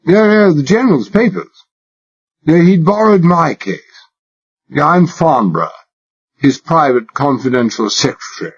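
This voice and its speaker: A man's voice: on the high side, moderate pace, long pauses, slightly rough, voice pushed up. A man voicing a male agent who fakes an exaggerated upper-class English accent, talking in a fussy, chattering, mock-polite way, on a dull lo-fi recording. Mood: affected. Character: male undercover agent faking a posh English secretary.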